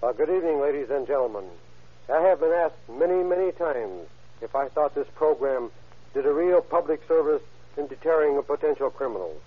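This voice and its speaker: A man's voice: on the high side, animated, fairly quick, breathy edge. A man with an American accent reading a stiff, earnest, formal address as a police official on old radio. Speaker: police chief, guest speaker. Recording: thin, narrow-band vintage radio recording.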